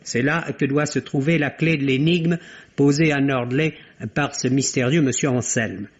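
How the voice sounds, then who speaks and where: A man giving a scripted film review on the radio as a critic, measured and articulate, on a vintage recording. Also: mid-range voice, lo-fi sound.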